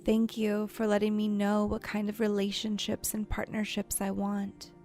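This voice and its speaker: A woman leading a guided imagery meditation in a soft, soothing, gentle voice that turns breathy at times, with unhurried pauses. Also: mid-range voice, completely flat delivery. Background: soft background music.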